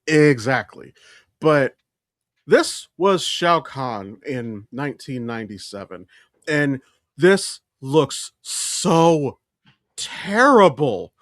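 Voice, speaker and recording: A man's voice: mid-range voice, big pitch swings, drawn-out words, dry recording, studio quality. Opinionated man chatting on a podcast. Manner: frequent casual swearing; opinionated, emphatic ranting. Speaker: podcast co-host. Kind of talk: casual pop-culture chat.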